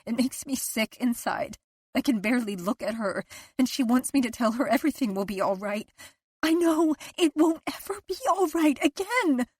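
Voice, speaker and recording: A woman narrator voicing a frightened, guilt-ridden wife in an audiobook, tearful and pleading, her voice climbing toward shouts. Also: on the high side, normal speed, few pauses, slightly rough, no room sound, clean studio sound, voice pushed up. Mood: panicked. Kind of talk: audiobook character voice.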